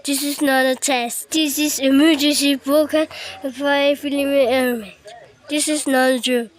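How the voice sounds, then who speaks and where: A woman's voice: sits high, steady tone, moderate pace. A woman reading a scripted emergency broadcast alert in short, stilted, repetitive phrases.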